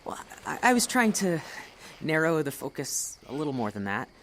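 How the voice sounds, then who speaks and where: A woman's voice: deep voice, very animated, normal speed, slight background noise. A woman voicing a shy, awkward young man who stammers and hesitates, in an audio drama. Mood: shy, earnest.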